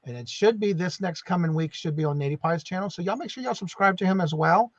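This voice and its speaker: A man's voice: high-pitched, lively intonation, fast, no room sound, lo-fi sound. A man hosting a casual live stream, chatty and full of fillers, saying y'all a lot. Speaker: host.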